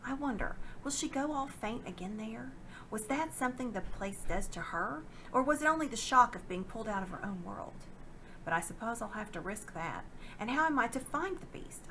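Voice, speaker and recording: A woman's voice: medium pitch, background noise, lightly acted. A woman voicing an anxious, earnest young boy thinking things through in a storybook reading. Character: young boy, worried and earnest schoolchild hero.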